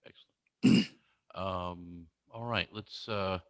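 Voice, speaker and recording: Halting low-voiced male meeting host. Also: steady tone, creaky, no room sound. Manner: halting, with many restarts. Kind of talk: moderating an online meeting. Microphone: video call.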